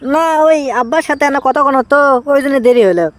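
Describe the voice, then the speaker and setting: A man's voice: very high-pitched, animated, fast talker, fairly bright tone, dry recording. A man voicing an impatient, whiny young son desperate to marry, in comic cartoon dialogue with a Bogura accent from northern Bangladesh. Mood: polite. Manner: whiny, pleading, exaggerated.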